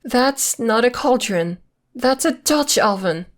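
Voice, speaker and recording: A woman acts out a playful girlfriend who is secretly a witch, in an intimate ASMR roleplay. Her voice sits fairly high and she takes her time over each phrase. She is coy and teasing, dodging in a casual, conversational way. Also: animated, slow pace. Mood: playfully defensive. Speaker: voice actor. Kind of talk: ASMR roleplay acting, intimate one-sided dialogue.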